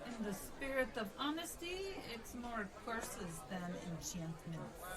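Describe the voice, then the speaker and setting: A woman playing a skeptical spellcaster in a comedy radio play, dry and measured. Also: fairly high pitch, sing-song, crowd noise. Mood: dismissive. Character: skeptical spellcaster fond of curses.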